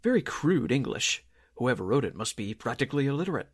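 A man playing a fussy, haughty theatrical producer with a husky voice in a 1940s-style radio drama. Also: mid-range voice, big pitch swings, a little fast.

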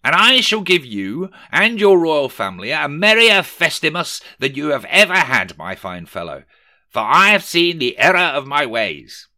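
Brief: male narrator playing a shrill, manic miser, bright tone, storybook. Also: big pitch swings, normal speed, exaggerated voice. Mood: pompous. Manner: shouting, exclaiming, manic glee. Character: gleeful scheming male miser, loud and theatrical.

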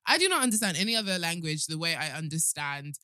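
A young woman co-hosts a casual podcast, chatty and emphatic, turning to address the listeners. Her voice is bright and a touch low for a woman. The recording is close and dry. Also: expressive, fast talker, dry recording. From Yoruba background. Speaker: podcast co-host. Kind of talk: casual chat.

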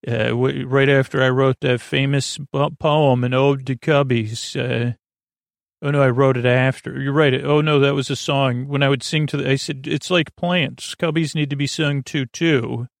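A man with a creaky voice hosting a bedtime podcast in a soothing, halting, meandering monologue that keeps drifting into tangents. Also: medium pitch, steady tone, no room sound, clean studio sound. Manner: meandering, halting, digressive, absent-minded. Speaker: podcast host.

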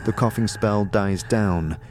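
Low-voiced man narrating an audio drama. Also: slow, a little mellow, a little hiss or hum. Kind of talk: scripted second-person story narration.

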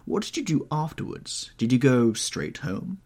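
A man voicing a cynical aristocratic gentleman in an audiobook, drawling out languid epigrams. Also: mid-range voice, very animated, measured pace, breathy edge, voice pushed up, exaggerated voice. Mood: wry.

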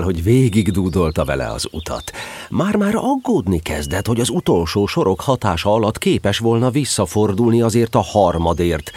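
A man narrating a children's story in an expressive, scripted storybook style. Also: low-pitched, breathy edge.

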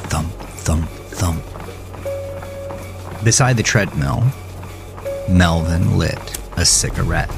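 A man reading scripted narration of a horror thriller story in a measured, dramatic storytelling manner. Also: sits low.

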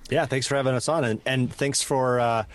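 A man's voice: mid-range voice, steady tone, fairly quick. A man with a Midwestern American accent, reflecting on his upbringing as a podcast guest in an easygoing way. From American Midwest (Chicago, Wisconsin). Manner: easygoing, reflective, many "you know"s. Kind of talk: casual interview chat.

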